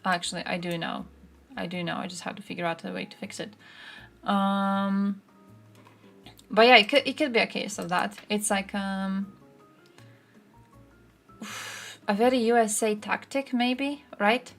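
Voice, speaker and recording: Casting: female livestream host, gravelly fry in the voice, informal and sweary, off-the-cuff chat style. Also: medium pitch, steady tone, a little slow, pauses often, fairly bright tone, faint music behind. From Croatia. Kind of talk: casual livestream chat, reacting to chat and posts.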